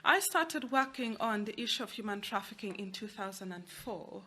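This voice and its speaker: A woman's voice: medium pitch, lively intonation, moderate pace, bright, forceful tone. A middle-aged woman with a Ugandan accent, explaining her work as an interview guest. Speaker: guest, anti-trafficking worker.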